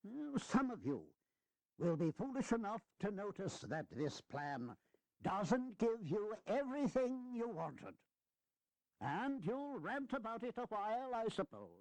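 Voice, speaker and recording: An actor playing an elderly, wry and earnest American statesman giving a deliberate, emphatic speech in a historical audio drama. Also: high-pitched, very animated, a little mellow, dry recording, full character voice. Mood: stern, wry. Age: older.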